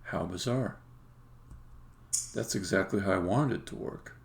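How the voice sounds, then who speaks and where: A man who develops games, talking casually and haltingly to himself as he live-codes on a stream. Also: deep voice, gravelly. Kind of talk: casual live-coding commentary, thinking aloud. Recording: faint background noise with keyboard clicks. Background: computer keyboard typing and clicking.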